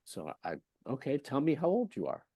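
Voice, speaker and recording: A man's voice: medium pitch, huge pitch swings, normal speed, mellow, no echo. A man speaking as a fertility specialist in a podcast interview, emphatic and persuasive, asking rhetorical questions and retelling talks with patients. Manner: conversational, retells patient exchanges.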